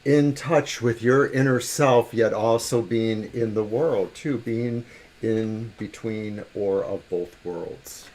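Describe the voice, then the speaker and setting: A man co-hosting a live-stream tarot reading, with a loud booming voice and a thoughtful way of explaining. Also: slow. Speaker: tarot reader co-host.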